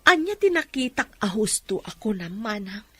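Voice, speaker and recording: An actress playing a calm, trusting mother of grown sons in a radio drama, patient and questioning. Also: medium pitch, moderate pace, slightly rough, soft background music. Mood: defensive.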